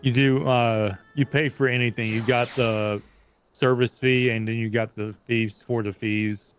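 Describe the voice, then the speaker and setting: A man's voice: mid-range voice, steady tone, measured pace. A man chatting casually as a friend joining in on a gaming livestream. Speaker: friend co-playing on stream. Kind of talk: casual chat. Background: music.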